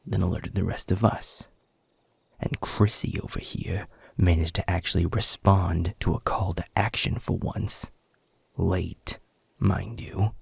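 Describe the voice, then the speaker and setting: A man voicing an aggressive, foul-mouthed werewolf pack beta in an audio roleplay, taunting and sarcastic, with a gravelly, husky voice. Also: deep voice, darkish tone, dry recording, full character voice. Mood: indignant.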